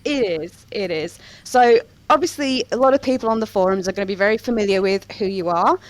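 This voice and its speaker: A woman's voice: medium pitch, fairly quick, slight background noise. A woman hosting a podcast in a casual interview style, with a playful, wry way of talking. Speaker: podcast host.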